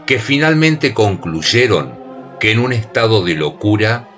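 A man narrating a radio drama in a grave, expressive storytelling voice. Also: lowish voice, measured pace. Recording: music bed under voice.

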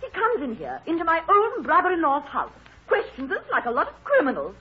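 Shrill, haughty mother. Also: huge pitch swings, a little fast, crowd noise, heavily acted. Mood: outraged. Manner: outraged, haughty, histrionic. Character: indignant, snobbish middle-aged British mother, shrill. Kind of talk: 1930s radio acting. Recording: muffled old radio broadcast.

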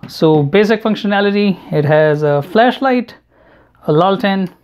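A man narrating an unboxing and product review in a measured, matter-of-fact way. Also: high voice, animated, a little mellow. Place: indoor room at home, at a table. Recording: home recording with clear background noise. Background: noise, handling clicks.

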